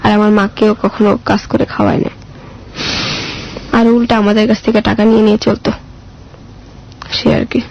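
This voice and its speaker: Female interview guest, Bangladeshi accent, heavy vocal fry, plain plaintive telling, muffled lo-fi audio. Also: mid-range voice, flat delivery, normal speed, long pauses, slight background noise. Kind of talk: personal life story told in an interview.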